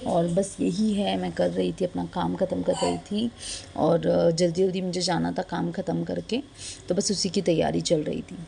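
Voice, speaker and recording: A young woman from India talks through her day in a relaxed, chatty way, slipping in English phrases and plenty of fillers. Her voice sits a little low and is hoarse and gravelly from a sore throat. A faint hiss of background noise runs under the recording. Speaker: vlogger. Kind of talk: casual vlog narration.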